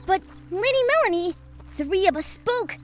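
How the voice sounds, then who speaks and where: An innocent little childlike companion in a fantasy audio drama. The voice is extremely high and small, polite and earnestly pedantic about every word. Music is clearly heard behind it. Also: female voice, lively intonation, normal speed. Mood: earnest, curious.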